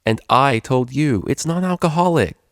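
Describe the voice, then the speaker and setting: A man's voice: medium pitch, animated, moderate pace, vocal fry, dry recording, clean studio sound, voice pushed up. A man voicing a laid-back young female unicorn DJ from a story, casual, slangy and teasing. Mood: teasing, pleased.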